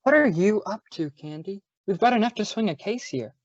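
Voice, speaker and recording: A woman's voice: medium pitch, lively intonation, fairly quick, dry recording, lightly acted. A woman playing a matter-of-fact male police detective in an old-time radio drama, terse and businesslike. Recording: dull lo-fi audio.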